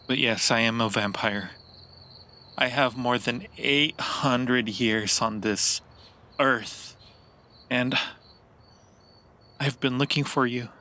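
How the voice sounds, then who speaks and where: A man playing a centuries-old, yearning and possessive vampire in an improvised ASMR roleplay, speaking to the listener in an intimate, theatrical way with lingering dramatic pauses. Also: medium pitch, bright, forceful tone. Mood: yearning, earnest.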